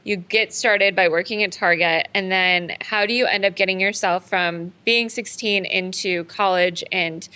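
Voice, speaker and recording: A woman hosts a podcast interview in a bright, crisp voice. She speaks in a close, dry sound. She is openly effusive, heaping praise on her guest. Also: medium pitch, fairly even intonation, measured pace, few pauses, dry recording. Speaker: podcast host. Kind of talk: casual interview.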